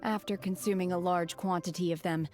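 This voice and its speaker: An actress playing an aloof, blunt young woman from the mountains in a video game, speaking calmly and formally. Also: lowish voice, fairly quick, faint music behind, close to an everyday voice. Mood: reflective. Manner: calm, formal, blunt, sighing. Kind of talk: anime-style video game voice acting.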